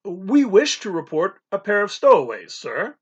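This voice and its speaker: A man doing a calm, formal butler. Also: high-pitched, breathy edge, pitched above the performer's own voice, exaggerated voice. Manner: formal, courteous, measured. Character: unflappable polite male butler, composed. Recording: dull lo-fi recording.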